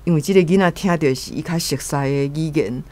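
A woman's voice: deep voice, a little fast, warm tone, no echo. A woman with a Taiwanese accent casually retelling a story as a guest commentator, acting out quotes.

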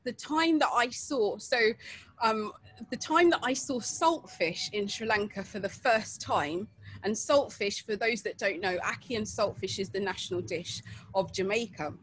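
A woman's voice: mid-range voice, a little slow, a bit bright, a little hiss or hum, low-quality recording. A middle-aged woman from England telling her story in a casual, chatty way, with many fillers and laughs, over a webcam video call. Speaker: interviewee. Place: outdoor porch at night.

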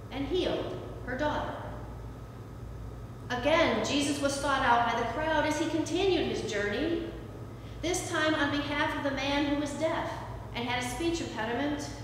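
A middle-aged woman pastor, preaching a reflective sermon from a lectern with deliberate pauses. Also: high voice, slight background noise. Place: church sanctuary, standing at a lectern beside the altar. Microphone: far camera, room sound. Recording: echoey church from far off.

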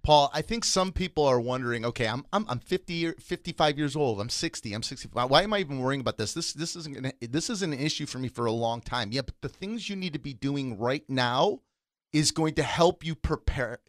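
A man's voice: medium pitch, animated, a little fast, no echo, clean studio sound. A man co-hosting a retirement finance radio show, talking in an emphatic, persuasive way. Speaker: co-host, financial instructor.

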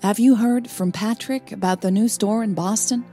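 A woman's voice: medium pitch, normal speed, dark tone, faint music behind, voice pushed up, natural voice. A woman voicing a curt, cool businesswoman with a clipped manner in an audio story. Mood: businesslike. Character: curt, cool young businesswoman, privately exasperated.

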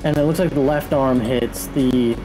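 A man's voice: medium pitch, steady tone, normal speed, low-quality recording. A man playing a tabletop game, talking casually and working out rules step by step. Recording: music running under the voice. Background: music.

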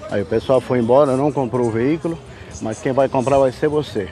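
A man from Brazil giving a folksy, chatty sales pitch while filming cars at an outdoor car fair on a handheld camera. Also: brisk, warm tone, some chatter behind. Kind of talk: walkaround sales vlog. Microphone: handheld camera mic, filming.

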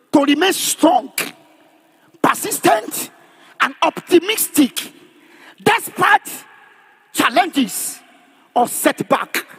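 A man preaching in an emphatic, declamatory charismatic sermon style, often rising to a shout and repeating phrases for emphasis. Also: very high-pitched. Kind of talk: charismatic sermon with call and response.

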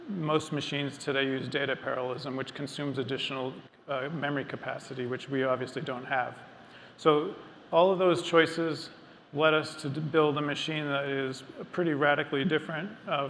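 Middle-aged male presenter, measured and explanatory, slightly high, flat delivery, in a reverberant hall. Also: creaky, a little hiss or hum. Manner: measured, explanatory, with frequent ums and restarts. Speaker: engineering lead presenting on stage. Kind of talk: technical keynote talk. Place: large dark stage before a seated audience. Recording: long hall reverb, faint ambience.

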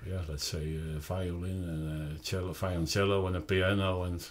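An older man with a Dutch accent explains a product off the cuff, haltingly searching for words. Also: sits low, level tone. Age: 60s or older. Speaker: loudspeaker designer presenting his product. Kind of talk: unscripted explanatory product talk. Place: carpeted, wood-panelled listening room indoors.

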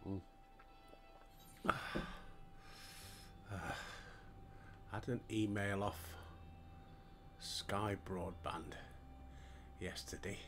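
Older Yorkshire gamer, low, unhurried, self-deprecating, home headset mic. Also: male voice, a bit bright. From Yorkshire, England. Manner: chatty, self-deprecating, talks to himself while playing. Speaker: gaming streamer. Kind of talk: relaxed gameplay commentary.

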